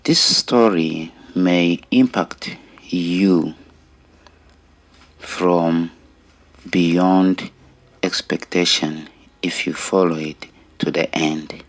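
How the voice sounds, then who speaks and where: A middle-aged man with a Rwandan accent giving an earnest personal testimony in deliberate, short phrases with pauses. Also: low voice. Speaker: storyteller sharing own life story.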